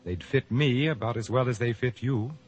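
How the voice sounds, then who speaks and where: An actor playing a cultured, scheming male painter in a 1940s radio drama, with a smooth, purring delivery. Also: mid-range voice, normal speed, close to an everyday voice. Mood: cunning. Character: suave sinister male painter, cold and scheming. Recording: old radio broadcast.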